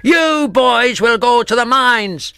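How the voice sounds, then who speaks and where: A man's voice: extremely high pitch, animated, slow pace, gravelly, bright, forceful tone, clear, resonant tone, no room sound, soft background music, voice pushed up, exaggerated voice. A man voicing a furious, bullying workhouse master who bellows his threats in a storybook reading. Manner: bellowing, raging. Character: furious red-faced male workhouse master, bullying tyrant.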